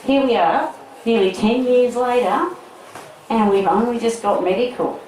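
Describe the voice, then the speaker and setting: A woman's voice: on the high side, measured pace, a little mellow, a little hiss or hum. A middle-aged Australian woman giving an informal, rambling public talk with blunt asides, taking questions from the audience. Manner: chatty, rambling, blunt, with swearing and laughs. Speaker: medical cannabis advocate giving a festival talk. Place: festival talk in front of an audience. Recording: echoey, distant mic, audience crowd audible.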